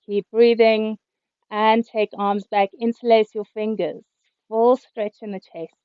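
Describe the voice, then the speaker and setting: A woman yoga teacher, a little hoarse, calmly cueing breath and movements through a class over a video call. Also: mid-range voice, a little slow, no room sound. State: slightly hoarse. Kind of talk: guided yoga instruction. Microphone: computer mic on a video call. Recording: dull, lo-fi video call audio.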